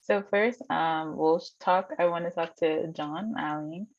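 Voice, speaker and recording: A woman's voice: deep voice, unhurried. A woman curator hosting an online artist talk, introducing the artists in a friendly way with plenty of ums. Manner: friendly, filler-heavy, with frequent ums. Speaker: curator and host. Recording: dry, slightly dull video-call audio.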